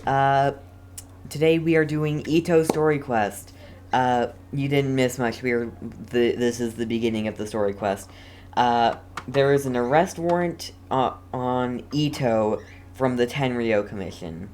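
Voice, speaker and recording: Slow-paced male gamer doing casual commentary. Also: medium pitch, darkish tone. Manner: rambling, self-deprecating. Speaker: gaming video host. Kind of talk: casual let's play commentary. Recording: faint background noise.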